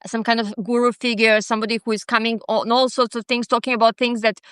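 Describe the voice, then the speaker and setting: A woman hosts an interview in a fairly high, smooth, resonant voice. She hedges and gropes for words, filling gaps with fillers. The sound is dry and close. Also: little pitch movement, quick pace, a bit bright, dry recording. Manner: hesitant, hedging, many fillers. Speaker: podcast host. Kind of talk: conversational interview.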